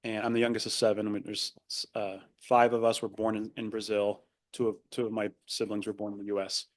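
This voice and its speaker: Podcast guest: young man, Spanish first language, lowish voice, reflective, halting. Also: flat delivery. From Argentina. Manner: thoughtful, many fillers and restarts. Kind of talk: casual interview conversation.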